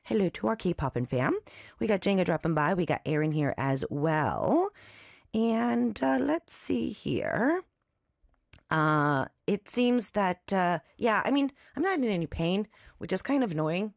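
A woman hosting a radio show, chatting and reading announcements in a raspy, slightly nasal voice after an allergy attack. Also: mid-range voice, unhurried, no echo, studio quality. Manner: chatty, loose and lively, with fillers. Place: radio studio. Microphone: studio microphone.

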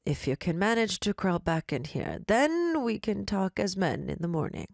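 A woman voicing an older male mafia boss in a storybook reading, with slow, deliberate threats and a put-on mobster accent that drifts toward Russian. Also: medium pitch, big pitch swings, creaky, a little mellow, no room sound, studio quality. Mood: cold. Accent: attempted Italian mobster, drifting Russian.

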